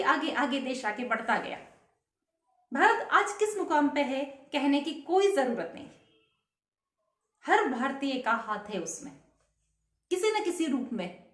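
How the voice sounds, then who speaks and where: A woman's voice: high-pitched, reverberant. A woman vlogger giving an earnest patriotic speech to camera, asking many rhetorical questions.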